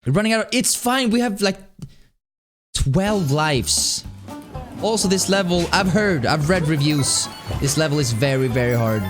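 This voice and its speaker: High-pitched, animated male streamer. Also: a little slow. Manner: animated, rambling, laughs often. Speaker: game streamer. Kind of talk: casual live-stream chat while playing. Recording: music playing underneath. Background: game music.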